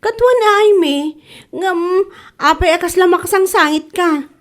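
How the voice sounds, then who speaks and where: A woman's voice: very high voice, unhurried. A woman with an Ilocano Filipino accent playing a frank, exclamatory married confidante giving advice in a radio comedy drama. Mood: concerned, surprised. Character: frank, motherly older-sister confidante, a married masseuse.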